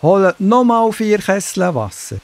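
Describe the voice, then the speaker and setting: High-pitched prophet, animated delivery, commanding, teasing, self-assured, children's Bible audio drama. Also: male voice, a little slow, full character voice. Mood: calm confidence.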